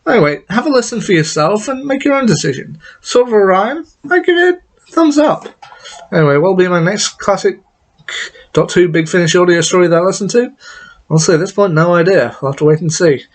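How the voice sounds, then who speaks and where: A man with a somewhat high-pitched voice chats through his review of an audio drama. He sounds enthusiastic and casual, often restarting a sentence or adding an aside mid-thought. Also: animated, a little fast.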